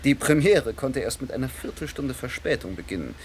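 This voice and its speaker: A man's voice: medium pitch, big pitch swings, fairly quick, a little hiss or hum. An actor playing a vain, theatrical male stage star who hosts guests at his country estate and declaims verse. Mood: reminiscing. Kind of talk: radio play acting.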